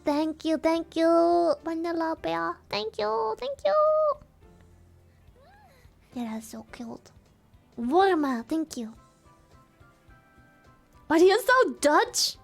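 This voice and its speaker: A woman live-streamer with a sore, dry throat chats playfully and giggles a lot. Her voice sits high and she takes her time. Faint music plays behind her. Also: expressive, slow, gravelly, pauses often. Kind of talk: casual live-stream chat.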